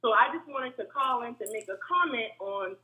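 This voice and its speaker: A woman calling in to a talk show, speaking in a monotone, hard-edged voice, earnest and steady. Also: fairly high pitch, moderate pace. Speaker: caller. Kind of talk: phone-in comment. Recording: tinny phone line.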